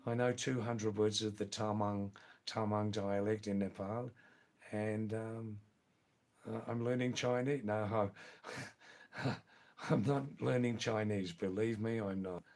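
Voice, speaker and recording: A man's voice: fairly low pitch, fairly even intonation, takes it slowly, a little mellow. Chatty older Anglo-Indian man. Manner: rambling, chatty, many fillers and restarts. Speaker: livestream host. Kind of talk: casual live-stream chat reading viewer comments.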